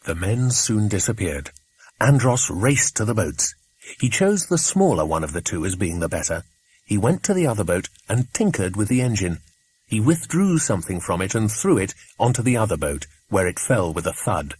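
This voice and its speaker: A man's voice: on the low side, big pitch swings, normal speed, a little mellow, slightly rough. A man with an English accent reading a children's adventure story as an audiobook narrator.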